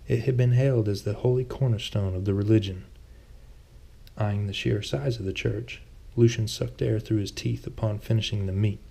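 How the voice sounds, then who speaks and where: Male author-narrator for fantasy prose, low pitch, mellow tone, audiobook read. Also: gravelly. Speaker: author reading his own novel.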